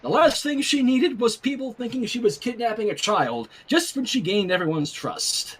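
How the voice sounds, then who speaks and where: Male fan fiction narrator, wry and very lively, slightly husky, breaks off to comment. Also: extremely high pitch, moderate pace. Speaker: story reader. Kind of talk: fan fiction reading with casual asides.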